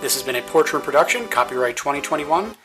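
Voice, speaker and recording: A man's voice: on the high side, lively intonation, fast. A man narrating scripted show credits as an announcer, in an arch, playfully formal manner. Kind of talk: scripted credits narration with tongue-in-cheek patron pitch. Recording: music behind the voice. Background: plucked guitar music.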